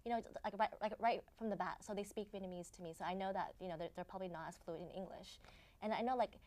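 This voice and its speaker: A woman's voice: medium pitch, a little mellow. A young woman working as an interpreter, speaking as a guest on a talk show, with many "like"s and "you know"s. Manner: quick, filler-heavy, says "like" a lot. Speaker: medical office interpreter.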